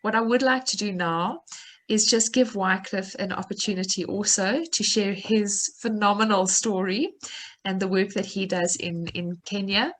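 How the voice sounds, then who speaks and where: Woman, session moderator, video call, even middle pitch, encouraging. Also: moderate pace. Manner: apologetic, encouraging. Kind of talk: hosting an online dialogue.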